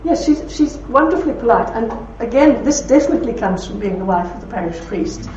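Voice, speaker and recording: A woman with a broad Belfast accent speaking to an audience, telling anecdotes with warmth and laughter. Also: fairly high pitch, lively intonation, a little fast, slight background noise. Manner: warm anecdotal storytelling with laughs. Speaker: speaker at a talk. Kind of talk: talk with audience questions. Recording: roomy hall from far off, dull.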